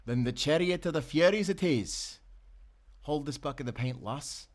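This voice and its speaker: A man's voice: on the high side, normal speed, lightly acted. A man playing a hearty, loyal sailor in an audio adventure, with an earnest, folksy nautical way of talking. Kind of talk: audio roleplay acting.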